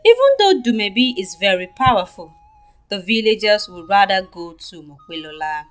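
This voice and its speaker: A woman with a Nigerian accent narrating a scripted folktale for children in an expressive, deliberate way. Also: mid-range voice, soft background music. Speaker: storyteller.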